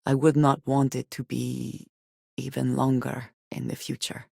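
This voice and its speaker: Casting: an earnest, guarded female space-game companion; precise, formal delivery, measured phrasing, dry studio-close sound. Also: rumbling low, level tone, gravelly, mellow, dry recording, exaggerated voice. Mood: measured, reflective. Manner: formal, measured, precise diction with few contractions. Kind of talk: video game character voice lines.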